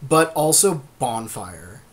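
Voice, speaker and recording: A man's voice: mid-range voice, expressive, slow pace. A man co-hosting a podcast, chatting casually and agreeably, thinking aloud as he weighs picks. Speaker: podcast co-host. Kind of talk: casual chat drafting a card game.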